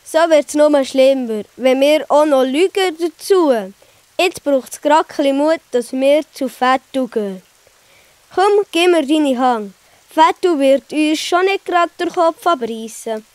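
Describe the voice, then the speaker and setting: Casting: woman as conscientious young boy, very high voice, measured delivery, children's audio play. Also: no echo. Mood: earnest, urging.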